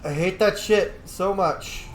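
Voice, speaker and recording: A man's voice: high voice, takes it slowly, a little hiss or hum. Man gaming in a roomy, echoing space. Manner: casual, profane. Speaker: streamer playing a roleplaying video game. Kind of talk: casual let's play commentary.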